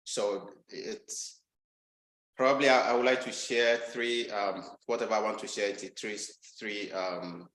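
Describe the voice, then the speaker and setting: A man's voice: on the low side, steady tone, unhurried, fairly bright tone, reverberant, rough recording. A man from Ghana giving a guest talk over a video call, speaking hesitantly with many ums and restarts. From Ghana, Volta region. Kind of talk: casual online talk.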